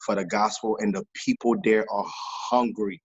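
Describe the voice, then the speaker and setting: A man from Haiti speaks in a fairly low, husky voice. He talks animatedly, repeats phrases and fills gaps with "you know". The audio is dull and lo-fi. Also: steady tone, moderate pace. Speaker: pastor and music missionary guest. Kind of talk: casual interview testimony. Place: New York based, remote interview.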